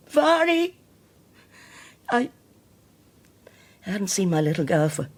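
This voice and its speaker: Radio drama: a pious, chilling old mother; unhurried, breathy-rough voice, deliberate phrasing. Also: mid-range voice, darkish tone, exaggerated voice. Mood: wavering, confessional. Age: older. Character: cold, self-righteous elderly mother, religious zealot.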